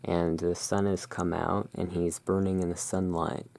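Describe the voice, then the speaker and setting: A person narrating in a soft, hushed, deliberate ASMR style while presenting cards as a host. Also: deep voice, level tone, creaky.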